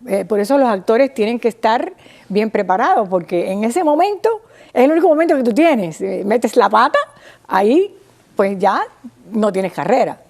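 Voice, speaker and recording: A woman from Cuba with a Cuban accent gives an informal, didactic talk, pausing and restarting and often checking with her listener. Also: fairly high pitch, lively intonation, creaky, a little mellow. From small town in Cuba. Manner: didactic, halting, checks with listener using tag questions. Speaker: guest speaker on Cuban theatre history.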